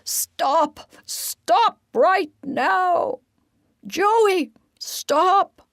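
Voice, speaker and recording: A woman's voice: medium pitch, lively intonation, slow pace, darkish tone, no room sound, studio quality, pitched above the performer's own voice. A woman voicing Giddle, a proud and earnest male pack rat, speaking firmly and insistently. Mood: commanding.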